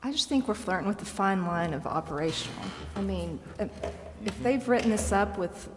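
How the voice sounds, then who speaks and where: A woman's voice: mid-range voice, lively intonation, normal speed. A woman speaking as a council member in a meeting, informal and plain-spoken. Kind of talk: meeting discussion. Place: indoor council chamber. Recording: reverberant meeting chamber with background noise.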